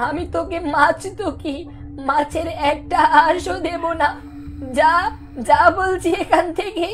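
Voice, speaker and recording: Woman playing a slow-talking old village woman. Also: extremely high pitch, fairly even intonation, faint music behind. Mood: scornful. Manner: slow, plaintive muttering to herself. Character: poor, plaintive but crafty old village woman. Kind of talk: cartoon fairy-tale voice acting.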